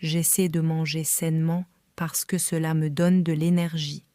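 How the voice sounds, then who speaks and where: A woman reading a simple story aloud for beginner learners, calm and careful with clearly spaced phrases. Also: sits low, unhurried, no room sound, studio quality. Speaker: French reader for learners. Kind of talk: slow scripted reading for beginners.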